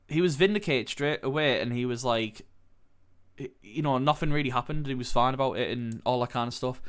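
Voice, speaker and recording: A man's voice: medium pitch, fairly bright tone. A young British man hosting a podcast, talking candidly and rambling with lots of swearing, sounding nasal as he comes down with a cold. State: coming down with a cold. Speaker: podcast host. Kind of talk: candid solo podcast monologue.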